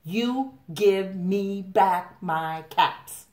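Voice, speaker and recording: A woman voicing an angry, exasperated male cap peddler in a children's storybook read-aloud, scolding in emphatic, halting phrases. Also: medium pitch, dark tone, voice pushed up. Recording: echoey room.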